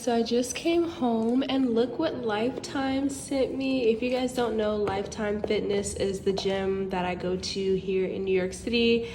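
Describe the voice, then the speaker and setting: A woman's voice: medium pitch, background noise. A young woman in her twenties from North Carolina, chatting casually to her camera in an upbeat, filler-heavy way while congested and a little nasal from a cold. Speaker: model and vlogger. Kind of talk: casual vlog chat to camera. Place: her apartment and city streets. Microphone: handheld compact camera.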